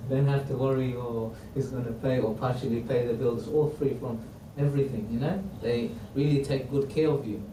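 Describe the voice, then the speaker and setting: A man who is not a native English speaker teaching a religious history class in a mosque, halting with many restarts and quoting Arabic. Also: mid-range voice, steady tone, dark tone. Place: mosque hall. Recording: roomy, distant mic, faint noise.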